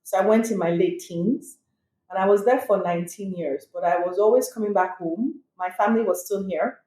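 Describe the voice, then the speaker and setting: A woman's voice: mid-range voice, moderate pace, warm tone. A middle-aged woman, an emergency physician, answering interview questions conversationally with light laughs and fillers. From Nigeria. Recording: echoey room.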